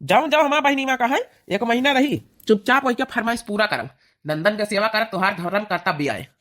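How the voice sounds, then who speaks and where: A woman's voice: mid-range voice, a little fast, a bit bright, close to an everyday voice. A woman with an Awadhi accent voicing a bossy, dismissive young husband in a comedy cartoon, scolding and giving orders. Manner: scolding, commanding. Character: bossy, dismissive young husband who sides with his sister.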